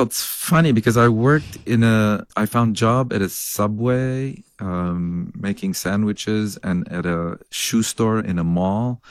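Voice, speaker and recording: Man from Lebanon, very low voice, earnest storyteller, dry close sound. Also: dry recording. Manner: earnest, storytelling, laughs between thoughts. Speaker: scientist, professor. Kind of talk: reflective interview answers.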